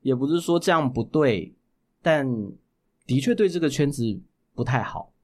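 A man with a Taiwanese Mandarin accent, explaining things as a guest in a casual interview, often halting and correcting himself. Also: on the high side, darkish tone. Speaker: guest voice actor.

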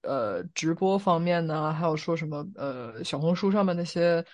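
A woman's voice: sits low, lively intonation, moderate pace, dull audio. A woman co-hosting a podcast, giving her opinions in casual chat and explaining them reflectively, point by point. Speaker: podcast co-host.

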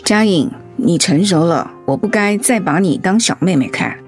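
A woman's voice: lowish voice, lively intonation, moderate pace, soft background music, lightly acted. A woman playing a caring, frank older-sisterly friend in a radio drama, speaking sincerely and earnestly. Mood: regretful. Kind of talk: Mandarin radio drama acting.

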